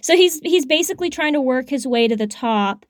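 A woman's voice: high-pitched, animated, fairly quick, no room sound, studio quality. Curious woman on a phone mic. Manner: curious, asks questions, laughs easily. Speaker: podcast co-host. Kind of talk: casual chat. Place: small closet at home. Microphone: phone with cheap headphones.

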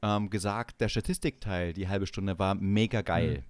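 A man's voice: low-pitched, steady tone, normal speed, dry recording. A man co-hosting a casual banter podcast, teasing and playfully mock-enthusiastic. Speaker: podcast co-host.

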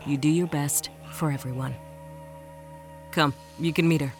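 A woman's voice: low voice, steady tone, moderate pace. A woman playing a tough, enigmatic exile in an audio drama, with a blunt, defiant, matter-of-fact manner. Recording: music under the voice.

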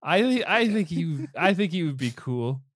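A man's voice: fairly high pitch, very animated, measured pace, no echo, clean studio sound, voice pushed up. A man voicing a nervous, bookish young noble bachelor who stammers awkwardly in a dating show parody. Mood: flustered, awkward. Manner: stammering, hesitant.

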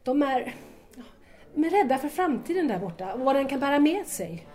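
A woman's voice: high voice, sing-song, a little mellow. An actress playing an earnest, polite young woman in an audio drama, speaking in a formal, sincere way. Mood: troubled, earnest.

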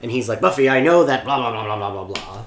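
A man co-hosting a podcast in casual chat, relaxed and hedging with fillers. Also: mid-range voice, fast talker, noisy background. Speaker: podcast co-host. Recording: echoey room.

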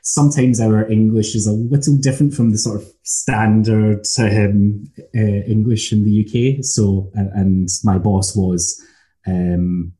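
A Scottish man, an academic, talking in a measured, thoughtful way with frequent ums. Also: on the low side, level tone, mellow, poor audio. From Scotland. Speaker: academic lecturer. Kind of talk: casual academic conversation.

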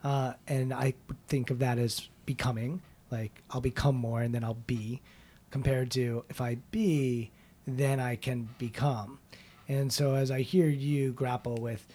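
A man's voice: mid-range voice, steady tone, a little slow, dark tone. A man hosting an interview, asking curious, probing questions in a reflective, conversational way. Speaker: interview host.

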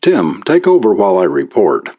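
A man voicing a brisk, plain-spoken and skeptical American police officer. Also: low-pitched, wildly swooping pitch, muffled recording, exaggerated voice.

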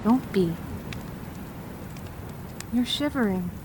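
Clear ambient noise surrounds a woman playing a steady, self-contained survivor in an audio drama. She talks in a matter-of-fact, reassuring way at a medium pitch. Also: very slow. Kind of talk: naturalistic audio drama dialogue.

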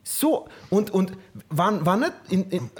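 A man in casual podcast chat who gets worked up fast and fills his rants with slang. His voice swings up and down a lot and sits a touch higher than most men's. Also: husky. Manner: excitable, rants with lots of slang fillers. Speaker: podcast co-host.

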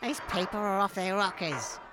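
A woman's voice: mid-range voice, moderate pace, over music, close to an everyday voice. A woman voicing a scheming, crude mother of two sons who swears freely. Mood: gloating. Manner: blunt, crude, cheerfully sweary. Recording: background noise.

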